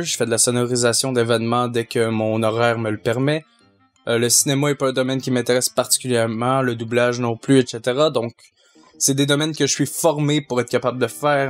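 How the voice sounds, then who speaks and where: A young man, a sound student, answering in a measured, matter-of-fact way. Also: medium pitch.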